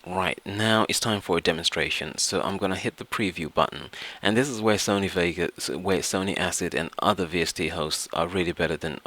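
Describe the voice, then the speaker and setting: A man narrating a software tutorial, explaining step by step in an instructional way. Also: low-pitched, brisk, few pauses. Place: indoors, voice-over to screen recording.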